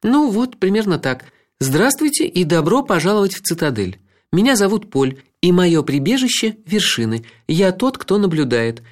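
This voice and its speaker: Brief: a male reader putting on a high, lively woman's voice, teasing and battle-worn, for an audiobook. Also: normal speed. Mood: amused, friendly. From Croatia. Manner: teasing, matter-of-fact, stoic. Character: wry red-haired woman, warm but battle-worn. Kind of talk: audiobook character voice.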